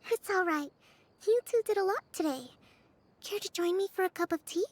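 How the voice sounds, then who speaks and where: A woman voicing a gentle, composed young military strategist in a video game, speaking in a polite, measured and softly formal way. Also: extremely high pitch, animated. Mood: politely gracious. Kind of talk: English game voice acting.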